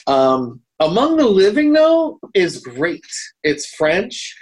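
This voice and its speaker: A man talking casually as a podcast co-host, haltingly, often repeating and correcting his words. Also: high-pitched, huge pitch swings, a little mellow, breathy edge. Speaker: podcast co-host reviewing horror films. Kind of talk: casual film discussion.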